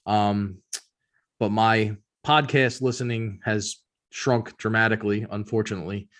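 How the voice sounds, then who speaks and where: A man's voice: on the low side, fairly even intonation, unhurried, a bit bright. Casual man chatting pop culture news. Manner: informal, conversational fan talk. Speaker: podcast host.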